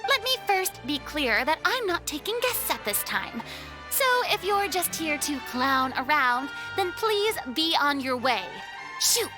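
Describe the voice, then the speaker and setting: Casting: proud young retired diva for a game, high voice, haughty, theatrical, formal, over music. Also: female voice, very animated, measured pace. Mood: dismissive. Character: proud, theatrical young female retired star and former deity. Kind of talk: video game voice acting. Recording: background music under the voice.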